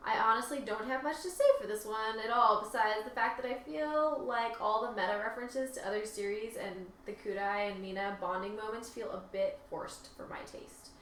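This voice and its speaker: Higher-pitched woman in an echoey room. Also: normal speed, hardly any pauses, fairly bright tone. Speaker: co-host reading out listener reviews. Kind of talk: casual chat mixed with reading aloud. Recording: echoey room, distant mic, faint noise.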